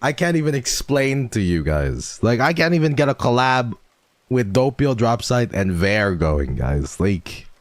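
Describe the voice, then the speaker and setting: A man streaming a casual chat with viewers, swearing freely and jumping from low mumbles to excited high yelps. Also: unhurried, dry recording.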